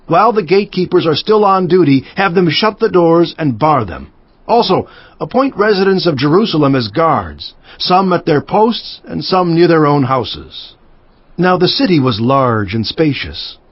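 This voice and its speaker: A man playing an earnest, resolute ancient governor recounting his memoir in a measured, emphatic dramatized scripture narration. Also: on the high side, breathy edge, no room sound. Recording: dull sound with faint music underneath.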